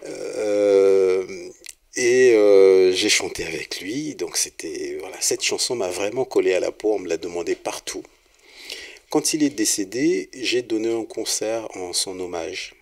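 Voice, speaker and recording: A middle-aged man from Congo, a singer-songwriter being interviewed, speaking in a relaxed, hesitant way with many fillers and restarts. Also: sits low, unhurried.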